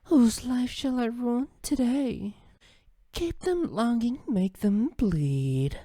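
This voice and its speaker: A woman's voice: on the high side, animated, slow pace, dark tone, no echo, clean studio sound. A woman voicing a seductive, menacing game villainess with a sultry, taunting manner. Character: cool, seductive, menacing femme fatale.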